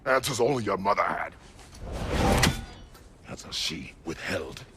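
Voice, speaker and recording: An actor playing a stern, weary warrior god and father in a video game, speaking tersely in a gruff, commanding growl. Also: lively intonation, measured pace, sharp tone, a little hiss or hum, exaggerated voice. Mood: stern, urgent. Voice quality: deep, gravelly, breathy growl.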